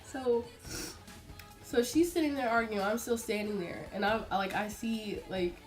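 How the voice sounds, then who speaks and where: A woman's voice: a little high, normal speed, soft background music. A young adult woman telling a personal story casually and dramatically, like a vlogger, acting out what people said. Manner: chatty, dramatic, acts out quotes. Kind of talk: casual animated storytelling to camera.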